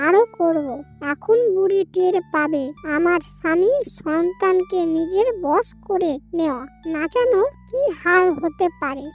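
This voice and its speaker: A woman voicing a cruel, domineering young daughter-in-law in a melodramatic cartoon, harsh and bossy. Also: very high voice. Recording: music under the voice.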